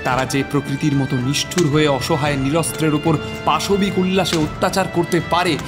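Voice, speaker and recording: A man's voice: on the high side, fairly even intonation, fairly quick. A man narrating a horror audio story from a script in a dramatic, suspenseful, theatrical manner. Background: music.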